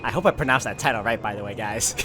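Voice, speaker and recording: A man hosting a game walkthrough, reading story narration aloud in an expressive, conversational way with casual asides. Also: on the low side, fast, background noise. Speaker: video game walkthrough host.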